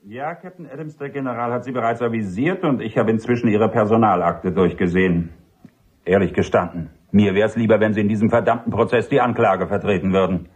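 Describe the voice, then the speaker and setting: An actor playing a frank, businesslike army colonel in a German radio drama, blunt and official. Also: male voice, lowish voice, brisk, a little mellow, slightly rough.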